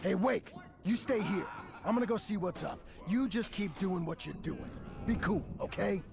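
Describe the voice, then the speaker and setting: A man's voice: high-pitched, moderate pace, vocal fry, music in the background. An actor playing a casual, reassuring male sidekick in a video game, talking in a breezy, informal way. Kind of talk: video game character acting.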